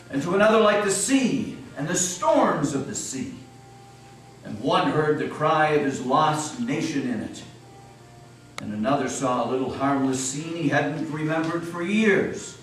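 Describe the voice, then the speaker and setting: A man's voice: on the high side, fairly bright tone. A man narrating a scripted dramatic story reading, theatrical and solemn in delivery. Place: old meeting house, live performance. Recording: very echoey hall, distant mic, faint noise.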